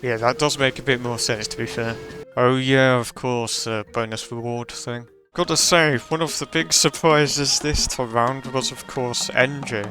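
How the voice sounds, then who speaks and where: A man's voice: medium pitch, steady tone, a little slow, pushed and bright. A man streaming games, giving rambling, offhand reaction commentary with music in the background. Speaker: gaming streamer. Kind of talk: casual game reaction commentary.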